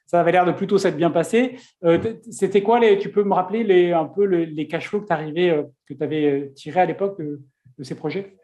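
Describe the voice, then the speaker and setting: A man's voice: high-pitched, brisk, warm tone. A man hosting a casual interview, chatty, with many fillers and restarts. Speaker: host.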